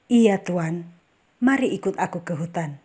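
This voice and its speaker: An actress playing a mysterious forest spirit woman who speaks calmly and politely. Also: fairly low pitch, a little slow. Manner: calm, polite, quietly persuasive. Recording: background noise and ambience.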